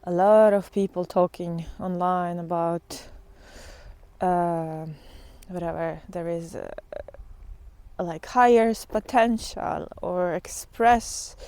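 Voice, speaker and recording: Slow, halting woman musing on spirituality. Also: medium pitch, warm tone. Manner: halting, reflective, with trailing pauses. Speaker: spiritual teacher vlogger. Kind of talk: casual unscripted spiritual monologue.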